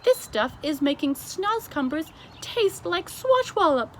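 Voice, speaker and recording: A woman voicing a friendly, excitable giant from a children's storybook, exclaiming in muddled grammar and made-up words. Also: high-pitched, a little slow, a little hiss or hum, voice pushed up. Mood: excited, amazed.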